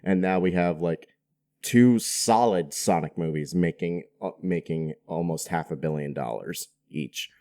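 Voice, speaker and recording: Low-voiced man in casual podcast chat. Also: a little slow, mellow. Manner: informal, slangy, chatty. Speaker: podcast guest.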